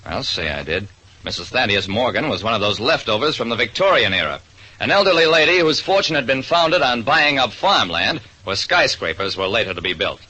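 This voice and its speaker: A man's voice: medium pitch, big pitch swings, fairly quick, bright, forceful tone, breathy edge, exaggerated voice. An actor playing a wry private detective who narrates his case in 1940s radio drama style. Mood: brisk, wry. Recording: noisy vintage radio recording.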